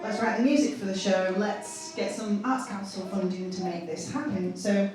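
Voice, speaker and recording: A woman's voice: medium pitch, moderate pace. A chatty, enthusiastic woman theatre maker informally presenting her own projects. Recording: very echoey, distant, loud music.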